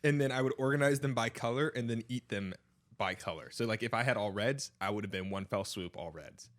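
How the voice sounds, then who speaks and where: A man's voice: on the low side, a little fast. A young adult man hosting a podcast, chatting casually with friends. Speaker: podcast host. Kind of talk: casual chat among friends.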